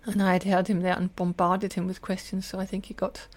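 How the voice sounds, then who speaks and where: A woman's voice: fairly low pitch, flat delivery, fairly quick. An older woman with a Scottish accent, telling personal stories in an earnest, detailed way as an interview guest. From Scotland. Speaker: podcast guest, teacher and lecturer.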